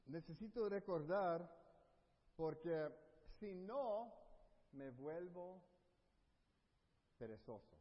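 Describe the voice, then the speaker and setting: Man from Texas, middle-aged preacher, unhurried, dull lo-fi recording with a long echo. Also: high-pitched, animated. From Texas, USA. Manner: mixes English fillers into Spanish, warm and earnest. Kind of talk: sermon. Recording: dull lo-fi audio with long hall reverb.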